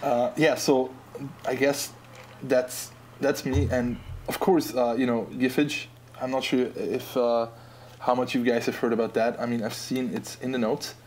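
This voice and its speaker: A man's voice: mid-range voice, little pitch movement, a little slow, darkish tone, a little hiss or hum, poor audio. A man in his twenties from Austria, with an Austrian accent, chatting as a podcast guest in a laid-back, joking, rambling way. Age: 20s. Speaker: podcast guest, app designer. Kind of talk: casual podcast chat.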